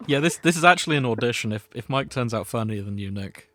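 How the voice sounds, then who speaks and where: A man's voice: medium pitch, animated, fast, fairly bright tone, no room sound. A man hosting a casual podcast, joking and teasing with his friends. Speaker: podcast host.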